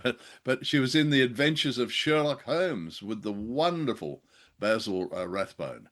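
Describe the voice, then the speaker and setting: A man's voice: mid-range voice, lively intonation, normal speed, a bit bright. An older man co-hosting a casual radio review chat, speaking in an effusive, exclamatory way. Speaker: co-host of an old-time radio review show.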